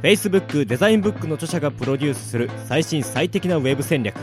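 A man's voice: on the high side, lively intonation, fast, music in the background. A man reading scripted sponsor announcements as a radio announcer.

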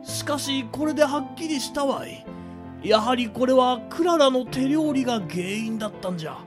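A performer playing a kindly old man in an amateur radio drama, with archaic elderly phrasing and a deliberate, explanatory manner. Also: high voice, expressive, over music. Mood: grave, explanatory.

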